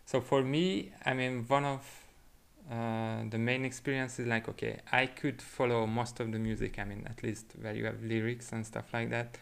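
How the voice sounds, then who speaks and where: A man from Hungary with a Hungarian accent, chatting reflectively as a podcast guest and thinking aloud in a halting way. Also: medium pitch, steady tone, creaky, smooth. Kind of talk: casual reflective chat.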